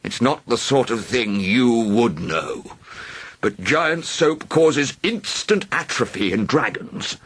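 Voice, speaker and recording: A man's voice: mid-range voice, animated, takes it slowly, fairly bright tone, breathy edge, full character voice. A man voicing a vain, rude and cowardly huge male dragon in a storybook, blustering and melodramatic. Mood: feeble. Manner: blustering and melodramatic, wailing when wounded. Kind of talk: storybook reading.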